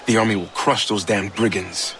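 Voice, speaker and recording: A man's voice: lowish voice, steady tone, normal speed, natural voice. A man playing a sly, playful crook and schemer in a video game. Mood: sly, brisk. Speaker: game character actor. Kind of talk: cinematic video game acting. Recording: noisy outdoor game ambience.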